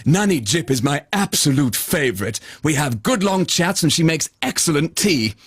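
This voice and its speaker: A man voicing a kindly, calm unicorn who cleans houses in a children's bedtime story. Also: on the high side, normal speed, breathy edge, no room sound. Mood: chatty, approving.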